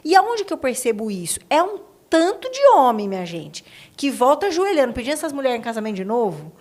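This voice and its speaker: A woman's voice: sits high, sing-song, a little fast. A Brazilian woman hosting a conversational podcast interview, speaking emphatically and colloquially and often checking for agreement. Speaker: podcast host.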